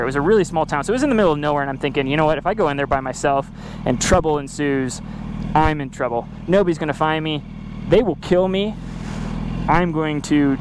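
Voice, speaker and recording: Guy vlogging a story, kinda high voice, chatty and dramatic, noisy street sounds behind him. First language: English. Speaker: vlogger telling a travel story. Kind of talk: animated first-person storytelling, casual chat. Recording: noisy outdoor recording with vehicle sounds.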